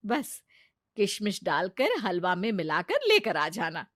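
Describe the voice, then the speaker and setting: Woman playing a gentle, protective mother-in-law. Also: lowish voice, very animated, a little slow, dry recording, studio quality, heavily acted. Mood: caring, instructing. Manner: gentle, reassuring, reasoning. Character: kind, protective middle-aged mother-in-law. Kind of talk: acted family story.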